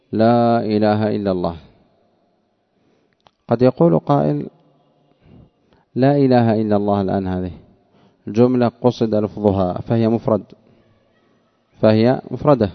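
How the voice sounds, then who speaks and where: A man teaching grammar in a lecture, explaining a text line by line in a didactic way and repeating phrases for emphasis. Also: medium pitch. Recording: dull lo-fi sound.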